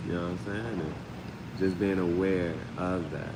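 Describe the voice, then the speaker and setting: A man's voice: sits low, moderate pace. A man giving a casual, off-the-cuff live tarot reading as host, often repeating phrases and peppering his talk with slang and sound words. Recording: roomy long reverb, clear steady background noise.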